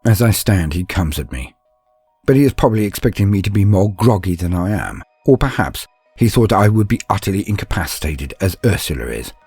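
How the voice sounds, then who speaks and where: A man's voice: deep voice, big pitch swings, brisk, a little mellow, slightly rough, no echo, faint music behind. A man narrating scripted lore and stories as a channel host, in a formal, grandiose, theatrical manner.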